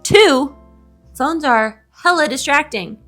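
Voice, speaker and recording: A woman with a fairly high, clearly bright voice talks casually with her co-host. She is animated and opinionated, leaning on her words with playful indignation and slangy phrasing. Faint music plays behind her. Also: slow. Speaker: co-host of a bookish video show. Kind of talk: casual opinionated chat with a friend.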